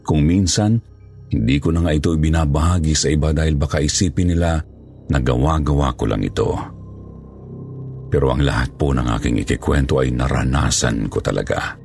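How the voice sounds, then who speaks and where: Man, horror story narration, very low pitch, gravelly husky tone, music behind. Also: brisk, darkish tone. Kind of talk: scripted first-person horror story narration.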